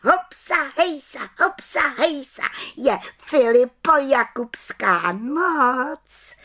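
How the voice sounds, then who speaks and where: Woman doing a high, plucky little witch. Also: animated, sharp tone, exaggerated voice. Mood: jubilant. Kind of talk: storybook character voice.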